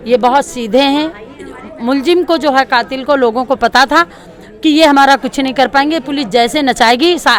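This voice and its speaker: High-voiced woman speaking out. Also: a bit bright. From Delhi, India. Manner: emphatic, repetitive. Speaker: local protester interviewed. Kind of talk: impassioned street interview. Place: outdoors at a protest. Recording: outdoor street with crowd noise.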